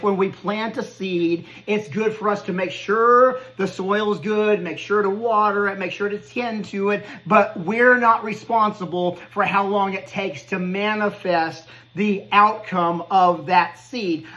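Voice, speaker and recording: A man's voice: sits high, sharp tone, low-quality recording. A man in his fifties hosting a podcast, giving an earnest, conversational motivational talk and laughing at himself now and then. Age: 50s. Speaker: podcast host. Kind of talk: motivational monologue.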